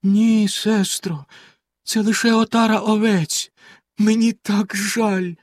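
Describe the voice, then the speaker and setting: A man voicing a young woman, the gentle sister, answering in a raised, lilting tone. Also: high voice, level tone, slow pace, no echo, studio quality, voice pushed up.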